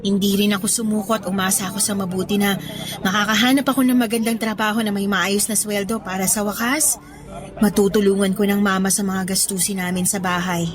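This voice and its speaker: A woman's voice: mid-range voice, fairly even intonation, brisk, close to an everyday voice. An actress playing a grateful, respectful young working woman in a Tagalog audio drama, with a Filipino accent and polite manner. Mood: earnest, grateful. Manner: polite, deferential.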